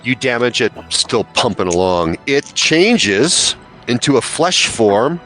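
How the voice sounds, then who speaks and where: A man's voice: medium pitch, very animated, slow pace, fairly bright tone. A man acting as game master, narrating and refereeing a tabletop role-playing game over music. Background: background music.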